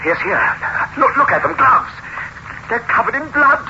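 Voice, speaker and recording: An anxious fiancé in an old radio melodrama, urgent and insistent. The voice is high for a man and very animated, on a muffled, noisy vintage recording. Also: normal speed, vocal fry, full character voice. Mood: alarmed.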